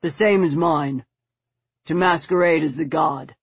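A woman's voice: deep voice, unhurried, slightly rough, no room sound, highs cut off. A woman voicing an earnest, grimly resolute boy in a storybook, speaking emphatically. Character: earnest, grim, exasperated boy loyal to his friend.

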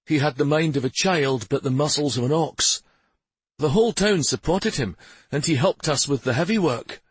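A man's voice: on the high side, normal speed, slightly rough, dry recording, voice pushed up. A man voicing a humble, troubled village mayor in a fantasy audiobook, pleading and deferential. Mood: troubled, subdued. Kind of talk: fantasy audiobook character dialogue.

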